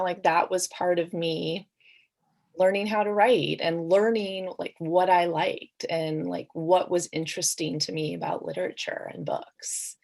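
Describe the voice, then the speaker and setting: Low, creaky-voiced woman, slow and reflective. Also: darkish tone. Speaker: author on a festival panel. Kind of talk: casual, reflective panel talk.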